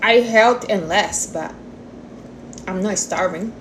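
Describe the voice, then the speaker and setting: Female, forty, livestream host. Unhurried, mid-pitched, casual chat with non-native phrasing. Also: noisy background. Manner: informal, non-native English phrasing, addresses listeners as honey and guys. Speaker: livestream host answering viewer questions on diet.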